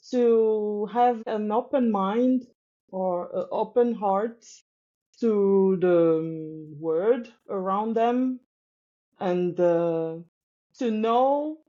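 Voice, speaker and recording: A woman from Congo with a Congolese French accent, a teacher talking thoughtfully in a podcast interview, pausing and restarting often. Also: on the high side, darkish tone, muffled recording. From Democratic Republic of Congo. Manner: thoughtful, halting with many restarts. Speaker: teacher guest.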